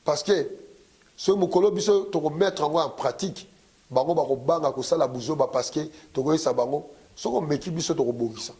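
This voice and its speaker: A man's voice: a little high, brisk, a little mellow. A man giving a rousing political speech at a conference, impassioned and leading the crowd in call-and-response chants. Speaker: conference speaker.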